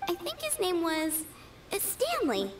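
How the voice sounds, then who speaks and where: Distant, high, dreamy little girl. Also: huge pitch swings, a little slow, heavily acted. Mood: dreamy, giggly. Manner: dreamy, innocent. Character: sweet dreamy cheerful little girl flower seller. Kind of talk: anime game voice acting.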